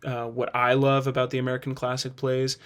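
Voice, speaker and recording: A man's voice: mid-range voice, monotone, fairly quick, a bit bright, smooth. A man hosting a casual video review, thinking aloud and hedging his opinions as he goes. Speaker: host.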